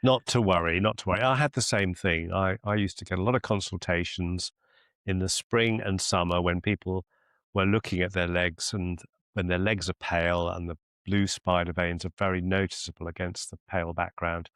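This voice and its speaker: Male mentor, deep register with plenty of fry, reassuring and explanatory in conversation. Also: steady tone, normal speed, fairly bright tone, dry recording, clean studio sound. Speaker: medical mentor.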